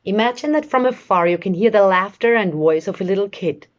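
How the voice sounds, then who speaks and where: A woman leading a guided meditation in a soothing, measured way, with long pauses between short phrases. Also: mid-range voice, lively intonation, highs cut off. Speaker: meditation guide, life coach.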